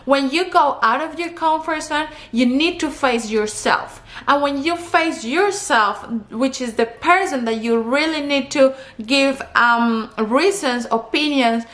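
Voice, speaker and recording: Young Colombian woman vlogger, high bright voice, earnest advice-giver, Spanish accent. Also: unhurried, few pauses. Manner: earnest, advice-giving, counts off points. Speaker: travel vlogger. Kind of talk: talking to camera.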